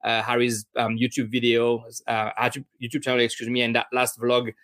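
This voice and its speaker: A man's voice: mid-range voice, flat delivery, a little fast, a bit bright. A man hosting a podcast, asking casual questions and stumbling over his words with many restarts. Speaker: podcast host. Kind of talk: casual interview.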